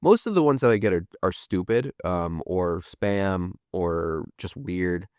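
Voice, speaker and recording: A man's voice: sits low, animated, measured pace, a little mellow, smooth, dry recording, clean studio sound. A man in his thirties from the United States hosting a podcast, chatting casually and rambling, swearing offhand as he reads out viewer comments. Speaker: podcast host. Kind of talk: casual livestream chat reading viewer comments.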